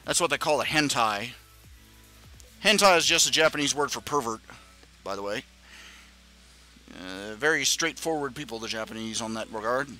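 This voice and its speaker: A man's voice: mid-range voice, lively intonation, normal speed, long pauses, sharp tone, faint music behind. A man hosting a live stream, talking in a casual rambling monologue with many stammering restarts and chuckling asides.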